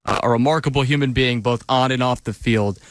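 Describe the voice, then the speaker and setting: A man hosting a pregame radio show from a studio, speaking in a steady broadcast manner with the odd filler word. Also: medium pitch, brisk, dry recording. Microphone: studio microphone.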